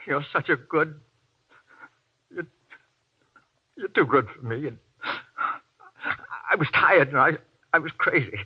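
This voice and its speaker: An actor playing a desperate, guilt-ridden husband in a 1940s radio horror play, pleading in halting, anguished, melodramatic bursts. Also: male voice, on the high side, expressive, husky, heavily acted. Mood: remorseful pleading. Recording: muffled vintage radio recording.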